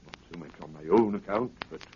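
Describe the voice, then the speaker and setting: An actor playing a cold, menacing criminal mastermind in a 1930s radio drama, with a measured, formal delivery and veiled threats. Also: male voice, low voice, lightly acted. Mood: cold menace. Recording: muffled, noisy 1930s radio recording.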